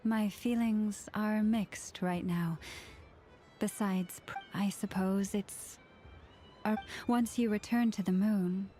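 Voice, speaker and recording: A woman playing a gentle, devout antlered young woman in a video game, soft-spoken, measured and earnest. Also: mid-range voice, steady tone, faint music behind, lightly acted. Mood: sincere, wistful.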